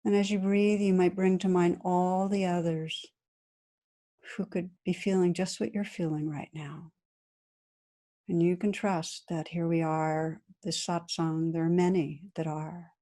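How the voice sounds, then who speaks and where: Soft, mellow woman leading meditation. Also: fairly low pitch, level tone. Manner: gentle, soothing, unhurried with long pauses. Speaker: meditation teacher. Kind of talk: guided meditation.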